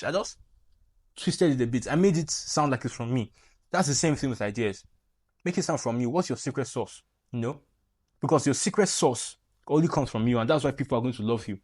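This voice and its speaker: A man's voice: a little high, no echo, clean studio sound. Playful young Nigerian man. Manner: animated, playful, jokey asides. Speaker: YouTube creator. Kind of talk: casual tutorial talk to camera.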